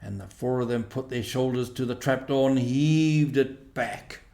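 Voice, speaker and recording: A man narrating a storybook in a measured, expressive way. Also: medium pitch, gravelly, reverberant. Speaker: audiobook reader.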